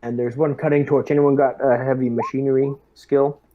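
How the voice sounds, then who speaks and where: A man speaking in character as a squad leader in a tabletop game, measured as he gives orders and briefings. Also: medium pitch, little pitch movement. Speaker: player acting as squad lieutenant. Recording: dull, slightly lo-fi audio.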